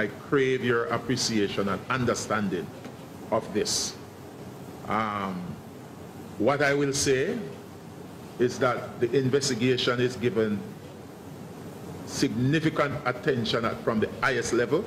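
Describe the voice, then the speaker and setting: A man speaking as a senior police investigator at a press briefing, guarded and formal, often halting and repeating words. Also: mid-range voice, roomy sound. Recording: noisy online press briefing audio.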